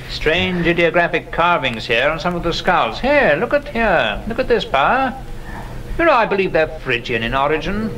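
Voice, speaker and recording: Animated gentleman scholar. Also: sits high, exaggerated voice. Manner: animated, learned, exclamatory. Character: fascinated, scholarly gentleman scientist exploring bones. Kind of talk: horror audio drama acting. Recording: noisy background ambience.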